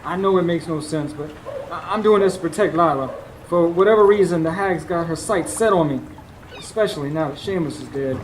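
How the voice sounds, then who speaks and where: Actor as an earnest Southern husband, noisy recording. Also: male voice, a little high, fairly quick, natural voice. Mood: urgent, scheming. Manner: folksy, confiding storyteller. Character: earnest, scheming Southern working husband hunting a witch. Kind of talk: radio drama acting with first-person narration. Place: stage before a live studio audience. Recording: live radio show with clear background noise.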